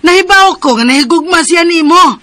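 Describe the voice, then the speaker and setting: Fretful aunt, high voice, bright and dry, radio drama. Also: female voice, lively intonation, normal speed, no echo, full character voice. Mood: worried. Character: worried middle-aged aunt, fretful and scolding.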